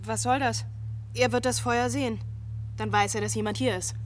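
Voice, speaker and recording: Actress as an anxious high-voiced woman. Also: fairly even intonation, moderate pace, a little hiss or hum, close to an everyday voice. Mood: anxious, insistent. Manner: urgent, questioning. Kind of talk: German radio drama acting.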